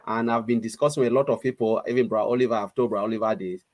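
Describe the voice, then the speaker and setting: A man's voice: on the low side, fairly even intonation, rapid-fire, a little mellow. A man from Cameroon with a Cameroonian accent, talking casually and earnestly as a panelist, repeating words for emphasis. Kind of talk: casual, unscripted discussion.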